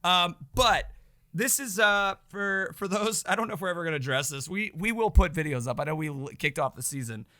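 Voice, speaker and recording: Slightly hoarse, bright-voiced man. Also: sits high, fairly quick. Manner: chatty, excitable, self-mocking. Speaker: podcast co-host. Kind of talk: casual chat.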